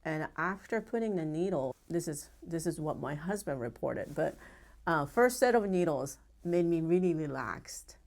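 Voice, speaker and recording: A middle-aged woman from Japan with a Japanese accent, a pediatric acupuncturist telling her story in a measured, earnest way with frequent pauses. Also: low voice, expressive, darkish tone. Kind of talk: personal story told to camera.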